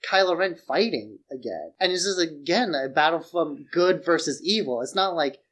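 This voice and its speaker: A man's voice: on the high side, expressive, normal speed, a bit bright. A man co-hosting a podcast, giving opinionated, analytical takes in casual film discussion. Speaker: podcast co-host.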